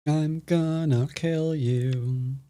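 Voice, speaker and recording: A man's voice: fairly high pitch, fairly even intonation, extremely slow, warm tone. A man from the United States commenting live on his own Go game, playful and teasing toward his opponent. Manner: playful trash talk. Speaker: streamer and Go teacher.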